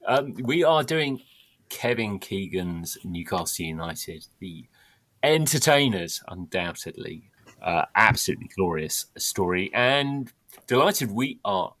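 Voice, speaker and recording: Halting male podcast host. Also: deep voice, sing-song, fairly bright tone, no echo. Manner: halting, stops and restarts often. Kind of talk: casual podcast chat.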